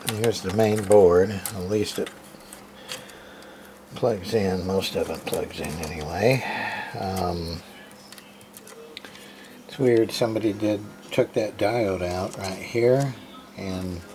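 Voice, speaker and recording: Slow-talking man, noisy amateur recording. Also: fairly low pitch, dark tone. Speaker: hobbyist radio repairer, video host. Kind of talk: casual unscripted show-and-tell of equipment.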